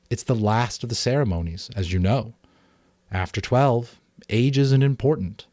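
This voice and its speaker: A man's voice: on the low side, no echo, clean studio sound, natural voice. A man voicing a caring, earnest mother in a storybook, explaining things patiently. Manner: patient, explanatory, gently advising.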